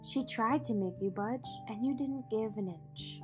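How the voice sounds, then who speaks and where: A woman acting in an audio drama as a poised, protective, wealthy mother and executive, measured, polished and dryly witty. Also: a little high, natural voice. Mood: proud, tender. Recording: music behind the voice.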